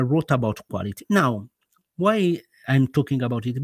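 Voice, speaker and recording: A man's voice: mid-range voice, no echo. Hesitant Italian-accented man. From Italy. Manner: hesitant, with many "uh" fillers and restarts. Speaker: guest author. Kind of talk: casual interview.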